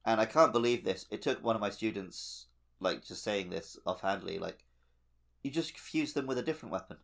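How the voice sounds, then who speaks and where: A man with a fairly low voice co-hosts a gaming podcast. He talks informally, musing aloud and slipping into anecdote in a relaxed conversational style. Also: brisk, creaky. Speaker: podcast co-host; teacher. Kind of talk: casual gaming podcast chat.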